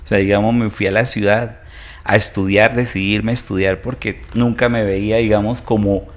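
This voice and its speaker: Colombian man in his fifties, low-pitched, hesitant guest full of fillers. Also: little pitch movement, moderate pace. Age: 50s. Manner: hesitant, many fillers, storytelling. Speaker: guest, entrepreneur. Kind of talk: interview.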